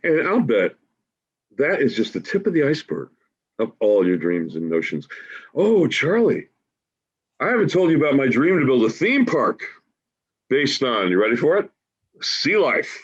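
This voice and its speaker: Lo-fi call recording; stage role of folksy homeless dreamer played by a man, husky, coaxing, very animated. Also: medium pitch, moderate pace, pauses often. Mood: excited, warm. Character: big-hearted, folksy homeless man Joe, a dying dreamer. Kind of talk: staged play reading, dialogue. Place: remote reading over video call. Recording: dull, lo-fi video call audio.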